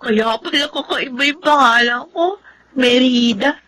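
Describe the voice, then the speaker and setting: A woman's voice: high-pitched, a little slow, sharp tone. A woman acting in a Tagalog radio drama as an affectionate, grateful, girlish daughter, speaking tenderly and expressively. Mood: curious, affectionate.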